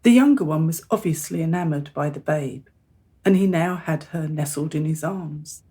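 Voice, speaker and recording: Husky, low-voiced mother played by an actress. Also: moderate pace, warm tone. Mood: solemn storytelling. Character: earnest, exasperated middle-aged mother confessing a family secret. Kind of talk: radio play acting.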